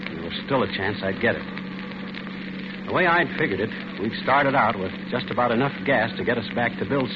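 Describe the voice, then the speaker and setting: A man's voice: on the low side, close to an everyday voice. An actor playing a tense middle-aged husband telling his own ordeal in hard-boiled, dramatic old radio thriller style. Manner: hard-boiled, dramatic first-person storytelling. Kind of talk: 1940s radio thriller narration. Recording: muffled old radio recording with music.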